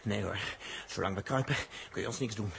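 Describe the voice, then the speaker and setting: An actor playing a defiant astronaut in a 1960s radio drama, urgent and bossy, prone to angry outbursts. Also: male voice, lowish voice, fairly quick, gravelly, a bit bright, slight background noise. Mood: tense, hushed. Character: defiant male astronaut, Dirk.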